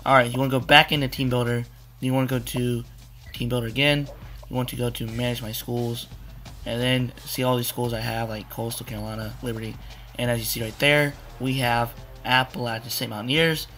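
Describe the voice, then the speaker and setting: Young man, hoarse and cracking voice, bright tone, rambling game walkthrough, faint music behind. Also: mid-range voice, flat delivery, a little fast. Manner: rambling, repeats "you wanna" with fillers. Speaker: gaming tutorial maker. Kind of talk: casual screen-capture walkthrough.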